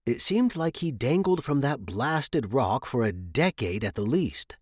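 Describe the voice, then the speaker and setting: A man's voice: medium pitch, no echo, studio quality. A man narrating a scripted adventure story as a reader of fiction.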